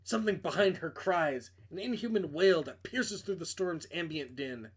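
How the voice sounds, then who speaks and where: A man's voice: a little high, animated, normal speed, slightly rough. A man hosting a game playthrough, reading a visual novel aloud and commenting casually. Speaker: game reviewer and host.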